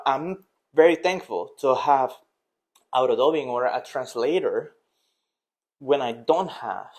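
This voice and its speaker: Man, teacher, earnest and rambling, mid pitch. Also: darkish tone. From Costa Rica. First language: Spanish. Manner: rambling, earnest, with many restarts and fillers. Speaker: Spanish teacher. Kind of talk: casual motivational talk to camera.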